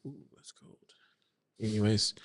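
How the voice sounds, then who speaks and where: A man co-hosting a casual podcast, reading posts aloud and joking around in a relaxed way. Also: unhurried, dry recording, clean studio sound. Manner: relaxed, joking, trails off with "anyways". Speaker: podcast co-host. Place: room by a window.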